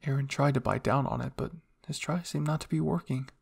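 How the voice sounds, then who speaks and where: A man reading fan fiction aloud as dramatic first-person story narration, moving between hushed and intense delivery. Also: medium pitch, a little fast, vocal fry, husky. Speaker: audio fan fiction reader.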